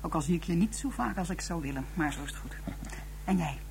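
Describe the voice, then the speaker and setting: Radio drama: busy, affectionate wife in her thirties, rapid conversational delivery, slightly noisy recording. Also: lowish voice, expressive, a little mellow. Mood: wistful. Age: 30s. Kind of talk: naturalistic 1990s radio drama acting.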